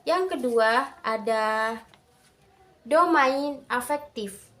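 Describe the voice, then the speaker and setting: A young adult woman giving a prepared student presentation in careful, halting phrases. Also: a little high, a bit bright.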